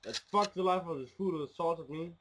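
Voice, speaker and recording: A man's voice: a little high, brisk, fairly bright tone, a little hiss or hum, lightly acted, low-quality recording. A man playing a proud, hot-headed gangster father in a cocky manner in an amateur radio play. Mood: cocky, threatening.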